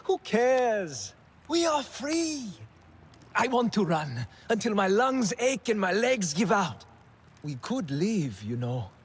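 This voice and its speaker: An actor playing a restless, defiant young alien man in a video game, intense and wistful, with a husky voice. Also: high voice, huge pitch swings, slow, exaggerated voice. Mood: defiant yearning. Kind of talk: video game cutscene acting.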